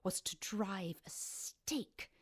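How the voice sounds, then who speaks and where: An actress playing an anguished, centuries-old cursed snake-woman in an audio drama, speaking formally and solemnly with breathy, hissing emphasis. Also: medium pitch, animated, drawn-out words, whispered, heavily acted. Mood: wounded, accusing.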